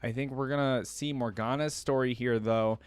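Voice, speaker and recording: A man's voice: medium pitch, steady tone, moderate pace, smooth, no room sound. A man hosting a reaction video, reading stories aloud and adding casual commentary.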